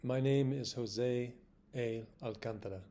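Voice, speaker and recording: A man's voice: mid-range voice, a little slow, dark tone, soft background music. A man reading his own poem aloud in short phrases. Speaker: poet reading own work. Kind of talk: poetry reading.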